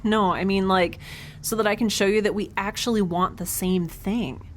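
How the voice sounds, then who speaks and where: A woman's voice: mid-range voice, steady tone, moderate pace, close to an everyday voice. A woman playing a quippy, awkward young peacemaker on a space station in an audio drama, hesitant and wry. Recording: faint background noise.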